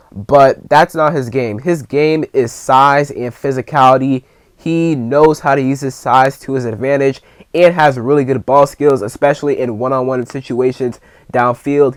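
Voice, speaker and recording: A young man talks through football news in a relaxed, conversational way and doubles up words when he wants to stress a point. His voice sits at an ordinary middle pitch. The sound is close and dry, with no room around it. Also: no echo. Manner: conversational, repeats words for emphasis. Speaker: fan channel host. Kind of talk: casual sports commentary.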